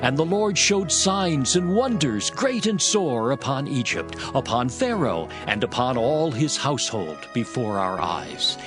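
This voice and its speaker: A man's voice: medium pitch, big pitch swings, unhurried, few pauses. An actor playing a reverent Israelite father, solemnly declaiming his people's history to his son in dramatized scripture. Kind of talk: dramatized scripture reading, archaic English. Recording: prominent music behind voice. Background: music.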